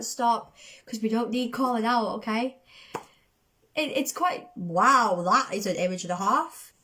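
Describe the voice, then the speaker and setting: A woman chatting casually as the host of a reaction video, excitable, her voice going a little from a cold. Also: a little high. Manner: excitable, self-described overdramatic. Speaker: host of a music reaction channel.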